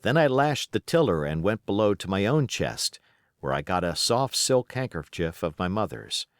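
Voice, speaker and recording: Expressive, low-pitched male audiobook narrator. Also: normal speed, dry recording. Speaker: audiobook reader. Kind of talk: scripted literary narration.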